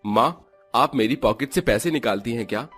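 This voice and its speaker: A man's voice: mid-range voice, normal speed, a bit bright, lightly acted. A man playing a frustrated young husband in a cartoon story, complaining and reproachful. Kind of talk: Hindi cartoon story character acting. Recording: music under the voice.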